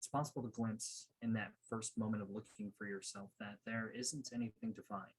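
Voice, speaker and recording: Low, husky man guiding a meditation. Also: brisk, low-quality recording. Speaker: meditation coach and guide. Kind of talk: guided meditation instruction.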